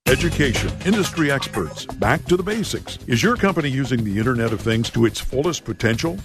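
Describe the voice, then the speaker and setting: A man's voice: medium pitch, lively intonation, brisk. A man reading a scripted commercial as an announcer. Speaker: advertisement announcer. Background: music bed.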